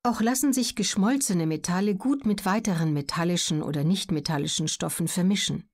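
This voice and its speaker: Measured woman narrating an audiobook. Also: fairly low pitch. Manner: measured, clearly articulated, factual. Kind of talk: scripted educational narration.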